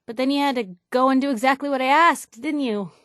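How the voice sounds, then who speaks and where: A woman's voice: high voice, fairly quick, a bit bright, dry recording, studio quality. A woman voicing a sardonic, outspoken young newspaper reporter companion in a video game, in a wry conversational way. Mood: sarcastic, miffed.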